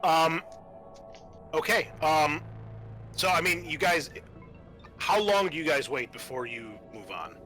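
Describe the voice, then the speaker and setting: Guy game master, bright voice, casual, thinking out loud, soft music behind him. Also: a little high, lively intonation, slow pace, long pauses. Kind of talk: casual tabletop game narration.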